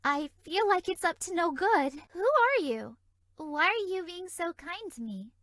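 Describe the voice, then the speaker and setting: A sweet, naive fairy for a video game. Her voice is extremely high and airy, with animated, bouncy melody. She sounds innocently flirty, in a very dry recording. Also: measured pace, no echo, exaggerated voice. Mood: bewildered, anxious. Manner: bubbly, innocently suggestive, airy. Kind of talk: video game character dialogue.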